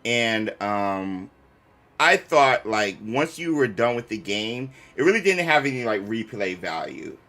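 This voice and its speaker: A man hosting a gaming show, talking casually on a livestream in a halting, rambling way with emphatic points. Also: lowish voice, big pitch swings, sharp tone. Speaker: host of a gaming reaction show.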